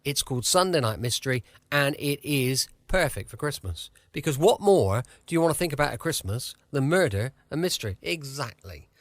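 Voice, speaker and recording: A man's voice: mid-range voice, animated, normal speed, few pauses, no echo. A British man hosting a podcast in a casual, chatty style, rambling into jokey asides. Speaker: podcast host. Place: home studio.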